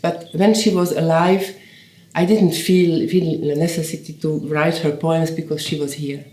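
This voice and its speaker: An older woman in her seventies whose first language is Hungarian, speaking slowly and thoughtfully. She halts and restarts as she searches for the right words. Her voice carries a noticeable roomy echo. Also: low voice, flat delivery, warm tone. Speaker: poet. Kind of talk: reflective documentary interview. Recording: roomy echoey sound.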